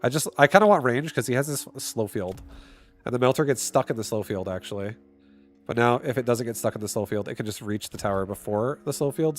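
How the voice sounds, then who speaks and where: Stuffy-nosed man streaming a strategy game. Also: on the low side. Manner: casual, thinks out loud, bursts into excited shouts. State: stuffy nose. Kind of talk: live gameplay commentary.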